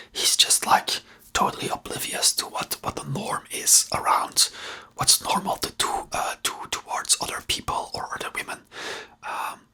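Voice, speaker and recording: An ASMR host who speaks in a breathy whisper with a non-native English accent, rambling hesitantly and searching for words while giving commentary. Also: bright, forceful tone. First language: not English.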